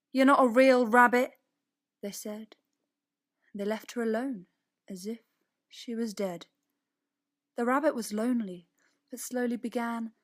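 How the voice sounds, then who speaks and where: A woman narrates a children's verse tale at a very unhurried pace. Her voice sits a little high. She performs theatrically, moving between hushed, breathy phrases and fuller dramatic ones. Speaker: storyteller reading a children's story-poem. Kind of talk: expressive scripted storybook narration in verse.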